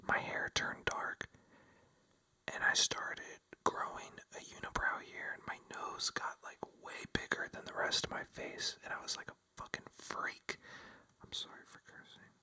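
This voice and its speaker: A vlogger telling a rambling, casual story in a breathy ASMR whisper, full of fillers like "like" and "you know.". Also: measured pace, sharp tone, poor audio. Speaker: vlogger addressing viewers.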